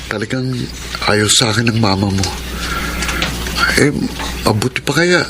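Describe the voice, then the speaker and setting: A man's voice: on the low side, expressive, a little slow. An actor playing an impatient, coaxing young suitor in his twenties in a Filipino radio drama. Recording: noisy radio drama broadcast.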